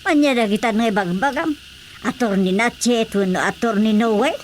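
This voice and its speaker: A down-to-earth village woman, acted by a woman in radio comedy style, questioning and Ilocano-accented. Her voice is a little high, with faint noise behind it. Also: normal speed, natural voice. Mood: puzzled. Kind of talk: radio comedy drama acting.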